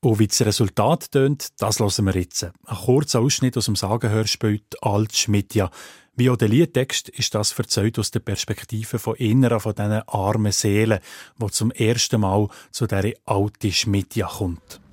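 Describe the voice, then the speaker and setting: A man with a Swiss German accent narrating a scripted radio feature as its presenter, with a husky voice. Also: fairly low pitch, steady tone, moderate pace, a little mellow, no echo, clean studio sound. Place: dry studio.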